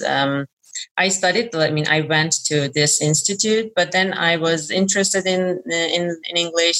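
Interview guest: middle-aged Iranian woman, deep voice, hesitant and chatty. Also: pushed and bright, low-quality recording. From Iran. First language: Persian. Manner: hesitant, frequent fillers and restarts, laughs often. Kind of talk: casual interview conversation.

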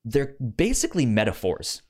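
A man co-hosting a podcast, chatting casually about books in a halting way, often stammering and repeating words. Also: medium pitch, wildly swooping pitch, darkish tone. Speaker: podcast co-host. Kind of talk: casual book discussion.

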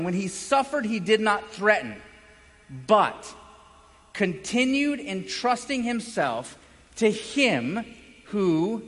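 A male pastor delivers a sermon in a notably high-pitched voice, with long reverb from a large hall. His delivery is emphatic, moving between raised volume and hushed phrases, and he leaves dramatic pauses for weight. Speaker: pastor preaching. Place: large indoor room, preaching to a congregation.

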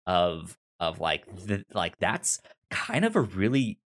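Low-voiced man with a stutter. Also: big pitch swings, a bit bright. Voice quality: stutter, repeating sounds and syllables. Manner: halting, searching for words. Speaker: podcast co-host reviewing an audio drama. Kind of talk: casual discussion.

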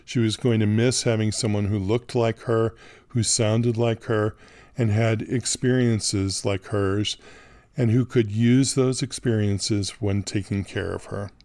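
A man hosting a podcast, moving between scripted narration and interviewing with deliberate, articulate phrasing. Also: lowish voice, fairly even intonation, darkish tone, no room sound. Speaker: podcast host.

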